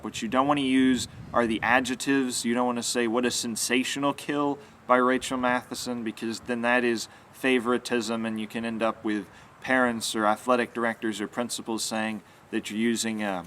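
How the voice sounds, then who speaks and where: A man's voice: medium pitch, a bit bright. Young man explaining, noisy outdoor recording. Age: young adult. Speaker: sports announcing instructor. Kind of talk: instructional explanation to camera. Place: outdoors in a yard with trees. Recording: clear outdoor background noise.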